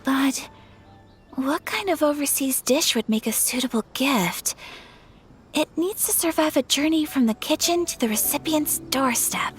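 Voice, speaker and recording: A woman's voice: high voice, unhurried. A woman voicing a gracious, polite young noblewoman who speaks formally. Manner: formal, courteous. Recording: game audio over music.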